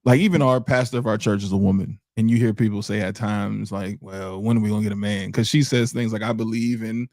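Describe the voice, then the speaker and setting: A man's voice: fairly low pitch, fairly even intonation, fast, few pauses, a little mellow, dry recording, clean studio sound. A man hosting an interview, chatting casually and listening attentively with many "mm-hmm"s. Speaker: host.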